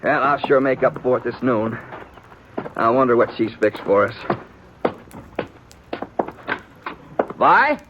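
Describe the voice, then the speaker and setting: An actor playing a hot-tempered, domineering rancher in a radio western, with an American accent and blunt, folksy speech. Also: male voice, mid-range voice, normal speed, close to an everyday voice. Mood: gruff, suspicious. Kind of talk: 1950s radio western acting. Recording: muffled, noisy old radio recording.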